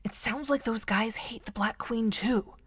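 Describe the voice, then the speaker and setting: A woman playing an inquisitive woman in hiding in an audio drama, speaking in a hushed hiss. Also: a little high, measured pace, natural voice. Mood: hushed, curious. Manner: hushed hissing. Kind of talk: audio drama character acting.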